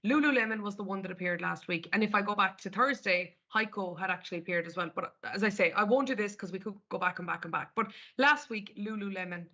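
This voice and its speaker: A woman's voice: medium pitch, quick pace, few pauses, bright, forceful tone. A woman with an Irish accent presenting a live market webinar, chatting her way through each screen step by step. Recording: dull lo-fi webinar audio.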